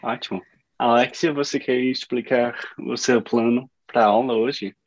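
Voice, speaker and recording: A man guides a small online language class, talking slowly and gently so the learners can follow. His voice comes through on muffled, lo-fi call audio. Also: medium pitch. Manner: relaxed, encouraging, speaks slowly for learners. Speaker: language teacher running the class. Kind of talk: casual class conversation.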